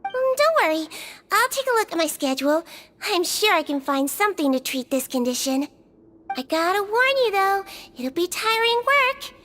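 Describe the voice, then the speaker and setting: A woman voicing a small, kind, unfazed nonhuman head nurse in a video game, gentle, patient and playfully matter-of-fact. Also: extremely high pitch, very animated, normal speed, heavily acted. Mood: playful, thoughtful. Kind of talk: English video game voice acting.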